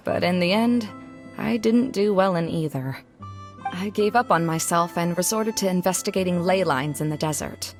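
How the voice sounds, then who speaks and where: A woman's voice: lowish voice, normal speed, faint music behind, close to an everyday voice. An actress playing an earnest, reflective young scholar woman in an anime game. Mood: regretful. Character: wistful, self-doubting young scholar woman running a fair.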